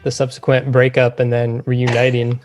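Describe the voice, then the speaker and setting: A man's voice: mid-range voice, completely flat delivery, moderate pace, a little mellow, soft background music. A man hosting a podcast, chatting casually as he interviews a guest.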